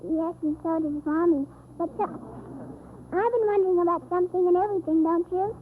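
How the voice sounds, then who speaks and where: A man's voice: very high-pitched, moderate pace, slight background noise, voice pushed up. A man voicing a cheeky, innocent, literal-minded little girl in a 1930s radio comedy act, full of naive questions. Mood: puzzled. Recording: tinny 1930s radio recording, music.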